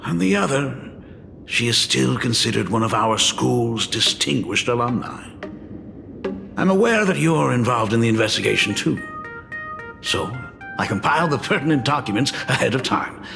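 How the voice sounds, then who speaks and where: A man's voice: mid-range voice, animated, moderate pace, vocal fry. A middle-aged actor playing a polite, hesitant male school dean and father in a video game. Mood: measured. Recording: reverberant game audio with music.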